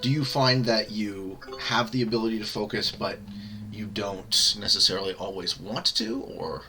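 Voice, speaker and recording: A man hosting a casual gaming podcast, talking in a halting way with many filler sounds while he sets up an online game. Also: on the low side, fairly even intonation, over music. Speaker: podcast host.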